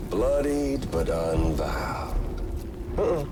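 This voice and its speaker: An actor playing a taunting, menacing terrorist villain in a video game cutscene, drawling in a mocking, grandiose way. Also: male voice, medium pitch, animated, very slow, background noise. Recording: loud music behind the voice. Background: loud music.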